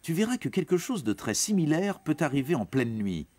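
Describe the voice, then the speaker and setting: A man's voice: on the high side, very animated, fairly quick, darkish tone. A man giving scripted educational narration for young teens in a reassuring, explanatory manner. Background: background music.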